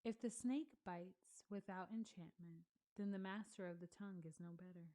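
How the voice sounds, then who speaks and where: A woman reads scripture aloud in a slightly low voice, taking each phrase slowly and deliberately. Her tone is mellow and soft-edged rather than bright. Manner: measured, deliberate reading with pauses. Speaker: scripture reader. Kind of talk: slow read-along of scripture.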